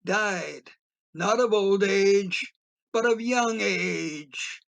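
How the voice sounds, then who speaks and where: A man playing a wistful old storyteller in his eighties in an audio play, musing and repeating himself haltingly. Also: high-pitched, gravelly, a bit bright, exaggerated voice. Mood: somber reminiscing. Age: 80s.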